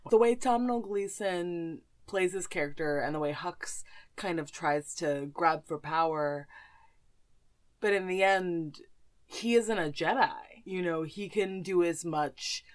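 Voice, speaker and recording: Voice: medium pitch, unhurried. A woman podcast host chatting casually and informally about films and actors, with some slang.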